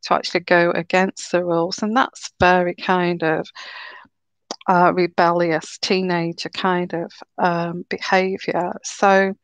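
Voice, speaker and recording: A woman giving a live tarot reading in a slow, soothing, reassuring way, her throat a little sore and croaky. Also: lowish voice, level tone, no echo. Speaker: tarot reader.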